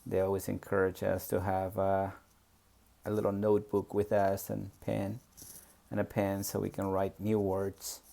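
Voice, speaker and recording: A man's voice: sits low, completely flat delivery, normal speed, gravelly, warm tone. A man from Peru with a Spanish accent, telling his life story in a hesitant, reflective way with many fillers. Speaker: memoir storyteller. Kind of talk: personal reminiscence.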